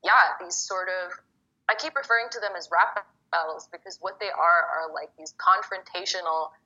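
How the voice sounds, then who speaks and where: A young woman from Egypt, a poet guest, talking casually and quickly with lots of "like" fillers. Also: fairly low pitch, fairly even intonation, bright, forceful tone. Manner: fast, chatty, many fillers like "like". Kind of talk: casual conversation. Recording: muffled phone-like call audio.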